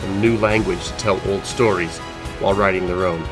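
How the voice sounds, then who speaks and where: A man giving scripted narration for an audio drama intro in a measured, grave way. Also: low voice, steady tone. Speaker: series narrator. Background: music.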